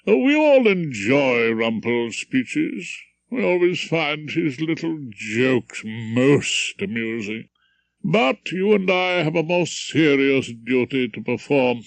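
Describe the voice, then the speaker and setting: Guy doing a pompous older judge, slow grand delivery, swoops up high, very dry sound. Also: lively intonation, vocal fry, dry recording. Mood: condescending. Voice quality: pompous, measured.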